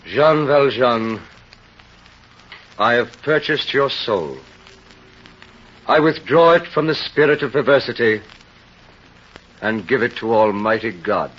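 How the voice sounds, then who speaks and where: Male elderly bishop: merciful, steadfast, measured, husky mid-range voice, old radio drama, dull recording. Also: mid-range voice, lively intonation, fairly bright tone, exaggerated voice. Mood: solemn. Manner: measured, solemn. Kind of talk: 1930s radio drama acting.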